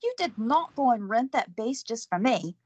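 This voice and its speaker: An actress playing an excitable, nervous young woman in love, in an audio drama. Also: a little high, normal speed, slightly rough, no echo. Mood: excited. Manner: excitable, flustered. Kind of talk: amateur audio drama acting.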